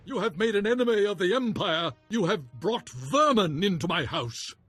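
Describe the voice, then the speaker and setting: Husky, high-voiced imperious baron. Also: male voice, animated, moderate pace, fairly bright tone, no echo, soft background music, full character voice. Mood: outraged. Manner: grand, formal, theatrical. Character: proud, imperious nobleman, a baron. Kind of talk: video game voice acting.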